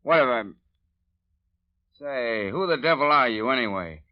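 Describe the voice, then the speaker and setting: An actor playing a nervous, hostile male saboteur in an old radio drama, talking in gruff tough-guy slang. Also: mid-range voice, expressive, a little slow, no echo, lightly acted. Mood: belligerent. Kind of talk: 1940s radio acting. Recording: dull old radio broadcast.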